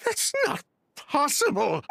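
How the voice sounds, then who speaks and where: A man's voice: very high-pitched, huge pitch swings, slow pace, gravelly, pushed and bright, a little hiss or hum, exaggerated voice. An actor playing a shaken, grieving man in denial in a video game, breathless, stammering and distraught. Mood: shocked, pleading.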